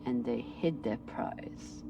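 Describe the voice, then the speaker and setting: A woman's voice: rumbling low, slow pace, far from the mic, background noise, exaggerated voice. An actress playing a tough female ship captain in a video game, speaking in a terse, blunt way. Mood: grim.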